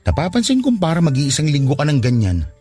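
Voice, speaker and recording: A man's voice: a little high, wildly swooping pitch, fast talker, a little mellow, soft background music. A man playing a concerned, teasing male friend in a Filipino radio drama, probing and informal.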